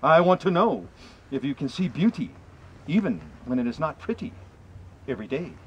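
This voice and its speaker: Middle-aged man earnestly reciting, higher-pitched voice. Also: sing-song. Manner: deliberate, earnest, emphatic phrasing. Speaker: poem reader, presenter. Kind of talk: expressive poetry recitation to a small group. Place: outdoors in a wooded area. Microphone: camera mic a few meters away. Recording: slightly muffled outdoor camera audio, faint ambient noise.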